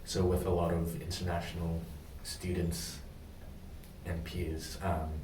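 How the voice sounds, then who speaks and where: A young man from Indonesia answering questions in a practice job interview, pausing often with ums. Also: sits low, monotone, roomy sound, recorded from a distance, noisy background. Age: young adult. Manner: hesitant, many pauses and ums.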